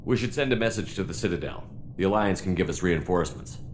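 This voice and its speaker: A man's voice: sits low, animated, quick pace, noisy background. A man playing a resolute military commander in a video game, with a direct, businesslike way of talking.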